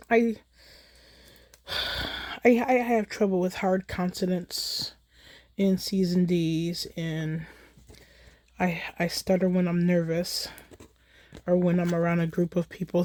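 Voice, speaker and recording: An American woman with a soft, mellow voice talks at a slow, easy pace. She rambles companionably, like a crafter chatting to viewers while her hands are busy. The sound is close and dry, with little room around it. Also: fairly low pitch, no room sound. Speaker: craft livestream host. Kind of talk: casual chat while crafting. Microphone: phone in a holder.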